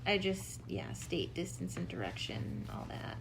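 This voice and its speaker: A woman's voice: on the low side, sing-song, measured pace, gravelly. A woman playing a tabletop game, chatting casually in a room around the table. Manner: swears casually. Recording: noisy room recording.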